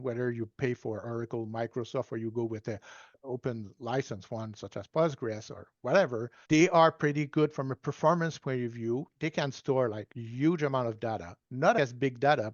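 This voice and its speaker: A man in his sixties with a French Canadian accent, a native French speaker, giving measured, self-deprecating answers as an interview guest. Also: mid-range voice, dry recording, dull audio. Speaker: guest data management consultant. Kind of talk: relaxed explanatory interview answers.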